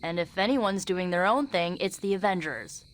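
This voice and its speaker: A woman's voice: lowish voice, lively intonation, normal speed, lightly acted. A woman voicing a feisty, defensive young hero in her twenties in a game, blunt and informal. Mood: indignant. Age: 20s.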